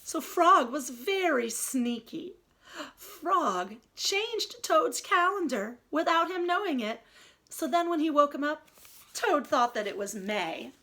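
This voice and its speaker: Female early-years teacher, high-pitched and very animated, reading aloud and explaining simply. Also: takes it slowly. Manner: expressive, clearly explaining. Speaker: teacher of young children. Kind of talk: read-aloud storytelling and simple instructions for children.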